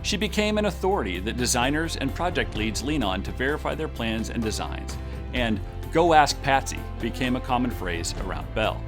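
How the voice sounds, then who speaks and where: Brief: male presenter, formal ceremonial read, lively pitch, music clearly underneath. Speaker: award presenter. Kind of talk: formal scripted award introduction.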